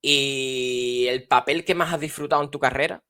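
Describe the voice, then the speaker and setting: A man's voice: medium pitch, fairly even intonation, moderate pace, bright, forceful tone, clean tone, no echo, clean studio sound. A man hosting a podcast, asking short, friendly interview questions and giving brief prompts. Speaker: podcast host. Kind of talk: quick-fire interview questions.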